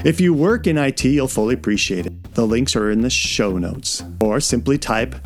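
A man hosting a podcast in a casual, conversational style, with halting phrasing that often repeats words. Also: medium pitch, lively intonation, soft background music. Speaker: podcast host. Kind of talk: casual interview and podcast narration.

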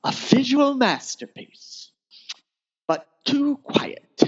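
A man's voice: very high-pitched, big pitch swings, very slow, slightly rough, highs cut off, pitched above the performer's own voice, full character voice. A man voicing an amoral female spy posing as a countess, arch, sardonic and melodramatic, in a first-person space opera audiobook. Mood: gleefully scheming.

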